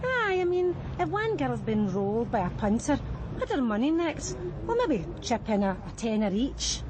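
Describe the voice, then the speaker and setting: An actress playing a blunt, world-weary Scottish street prostitute in a radio crime drama. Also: female voice, on the high side, sing-song, moderate pace. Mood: matter-of-fact. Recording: noisy street and car background.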